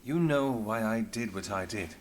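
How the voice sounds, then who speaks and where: An actor playing a guarded, authoritative inspector in a game, speaking in a dry, measured, formal way. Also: male voice, on the low side, expressive, slight background noise, lightly acted.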